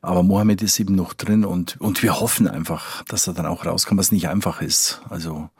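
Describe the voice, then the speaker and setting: A man with a low-pitched, rough-edged voice speaks as a correspondent in a podcast conversation. He is informal and emphatic, often repeating a phrase as he thinks aloud. The recording is dry. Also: fairly even intonation, brisk, a little mellow, dry recording. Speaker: foreign correspondent.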